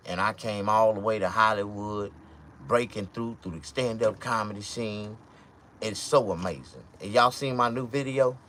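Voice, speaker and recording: Mississippi man, about fifty, very low voice, rambling profane riffs to camera, faint room hum behind. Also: normal speed. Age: 50s. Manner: profane, loose and rambling, with sudden loud outbursts. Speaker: vlogger and stand-up comedian. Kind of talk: rambling casual monologue.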